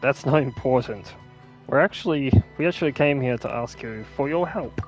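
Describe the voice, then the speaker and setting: Casual streamer reading game dialogue, mid-pitched. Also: moderate pace, faint music behind.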